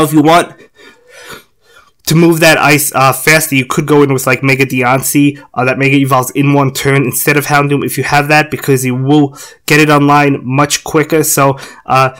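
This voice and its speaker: A young man talks through a mobile puzzle game with a hoarse, cold-roughened voice. His pitch sits a little high for a man, and his casual commentary wanders with frequent fillers, self-corrections and restarts. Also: fairly bright tone. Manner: rambling, many fillers and restarts. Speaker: gaming channel host.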